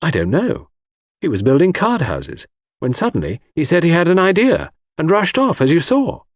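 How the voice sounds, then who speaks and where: A male reader plays a detective's gentleman companion in an audiobook mystery. He is earnest and easily baffled, exclaiming with very mobile pitch. The voice has a slightly husky grain. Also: huge pitch swings, normal speed, no echo, clean studio sound, full character voice.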